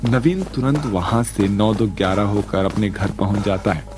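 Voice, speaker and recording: A male narrator reads a scripted story in a fairly low voice. Music is clearly heard behind his storytelling. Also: normal speed.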